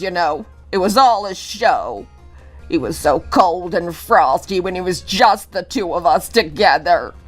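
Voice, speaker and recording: Woman acting a bitter, tearful old widow. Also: on the low side. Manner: bitter, self-pitying. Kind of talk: dramatic dialogue.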